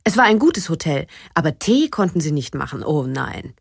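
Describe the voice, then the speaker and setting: A woman voicing a blustering, scornful middle-class German gentleman for an audiobook, pompous and incredulous. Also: sits low, big pitch swings, normal speed, vocal fry, slightly rough, dry recording, studio quality, exaggerated voice. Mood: boastful. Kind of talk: audiobook character voice.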